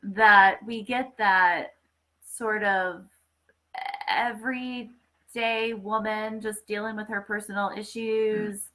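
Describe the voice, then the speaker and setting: A woman's voice: medium pitch, fairly even intonation, takes it slowly, pushed and bright, poor audio. A woman hosting a podcast in casual literary discussion, often repeating phrases as she gathers her thoughts. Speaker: podcast host.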